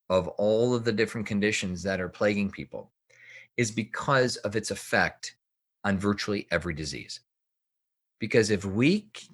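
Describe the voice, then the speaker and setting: A man's voice: lowish voice, a bit bright. A man speaking as an expert guest in a podcast interview, explaining things with emphasis and repeated phrases. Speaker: guest health researcher and author.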